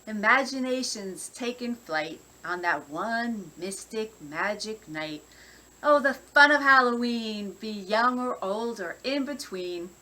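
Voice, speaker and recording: Slow, bright-voiced woman reading verse. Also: on the high side, low-quality recording. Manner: expressive, measured storytime delivery. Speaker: library director reading a poem. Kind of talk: festive children's poetry reading.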